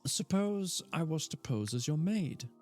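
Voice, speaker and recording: A man's voice: on the high side, very animated, measured pace, a little mellow, faint music behind, voice pushed up. A man reading aloud and voicing a confident, playful young woman who is an actress. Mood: eager. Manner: playful, reassuring.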